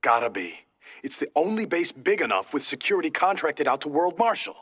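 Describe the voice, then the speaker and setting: An actor playing an urgent mission-support operator in a video game, brisk and informal, heard through a radio comms filter. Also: male voice, a little high, big pitch swings, a bit bright, slightly rough, full character voice. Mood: casual briefing. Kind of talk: video game cutscene acting. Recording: thin, phone-like radio with reverb.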